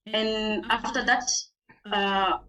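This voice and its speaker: A woman from Senegal with a Senegalese accent, a non-native English speaker, gives a halting, informal presentation over an online meeting as a teacher on a panel. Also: medium pitch, steady tone, pushed and bright. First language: not English. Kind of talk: informal spoken presentation of own experience. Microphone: video call. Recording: distant online meeting microphone.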